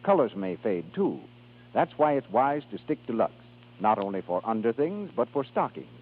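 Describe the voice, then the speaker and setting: Old time radio announcer guy, slightly husky, scripted sales pitch, thin recording. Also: sing-song, normal speed. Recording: tinny 1930s radio recording.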